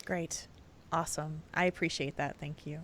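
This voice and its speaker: Woman, podcast host, earnest, laughs easily, bright and sharp voice, dry sound. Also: sits low, fairly even intonation, moderate pace, no echo. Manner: earnest, friendly, frequent laughs. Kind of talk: casual podcast chat.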